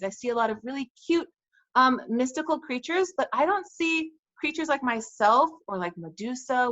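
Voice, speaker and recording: A woman voicing a friendly female sphinx guardian in an improvised kids' interview, speaking in a relaxed, conversational way with frequent "um"s and "like"s over a video call. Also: fairly high pitch, highs cut off. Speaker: interview guest. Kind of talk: improvised role-play for kids.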